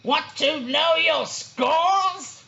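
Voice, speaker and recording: A storyteller voicing a pompous, bullying wild boar official in a children's book, booming and officious. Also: creaky, pushed and bright, muffled recording, exaggerated voice. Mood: scornful, ranting. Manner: booming, officious, drawn-out scornful delivery.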